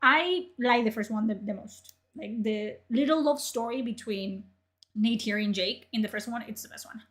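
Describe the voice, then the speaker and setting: A woman's voice: a little high, normal speed, fairly bright tone. A young woman from Spain, a native Spanish speaker, chatting in a rambling way on her livestream and answering chat. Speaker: livestream host.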